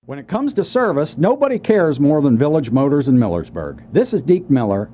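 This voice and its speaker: A man's voice: mid-range voice, mellow, slight background noise. A man reading a scripted radio advertisement as the spokesman for his business.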